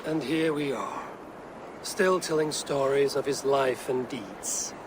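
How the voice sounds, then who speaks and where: An actor playing a stern, loyal Viking warrior in a video game, speaking in a blunt, firm and terse way. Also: male voice, medium pitch, slow pace, background noise, natural voice. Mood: reassuring. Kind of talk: dramatic game dialogue. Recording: outdoor game ambience behind the voice.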